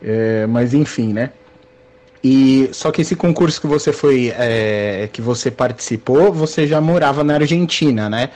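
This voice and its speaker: A man with a mid-pitched voice hosts a casual conversation. He comes across as friendly and self-deprecating, and he wanders into rambling side remarks before getting back to his question. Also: a little slow, darkish tone. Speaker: host, interviewer.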